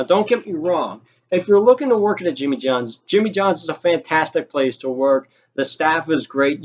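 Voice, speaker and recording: Young man storyteller, higher-pitched, lo-fi sound. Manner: informal, sweary, exasperated. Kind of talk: animated personal anecdote with acted-out quotes.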